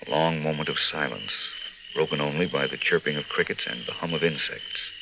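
A man delivering scripted narration as a vintage radio announcer, measured, dramatic and declamatory. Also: a bass voice, creaky, low-quality recording. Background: music.

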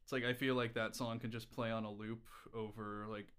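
An American man speaking as a podcast guest in casual conversation, with halting phrasing full of "like". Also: fairly low pitch, flat delivery, fairly bright tone, clean tone.

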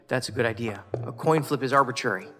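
A man's voice: medium pitch, quick pace, a bit bright, natural voice. Actor as a wry male investigator, reverberant room. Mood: wry, teasing. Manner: playful, sardonic banter. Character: wry, confident male federal investigator, teasing and probing. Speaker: federal investigator. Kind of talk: science fiction audio drama acting.

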